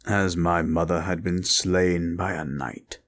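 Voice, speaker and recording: A man playing an ancient, gentle, fatherly red dragon in an audio roleplay, speaking tenderly in old-fashioned formal phrases. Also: steady tone, slow. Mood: grave, reminiscing. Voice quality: deep, gravelly, husky voice.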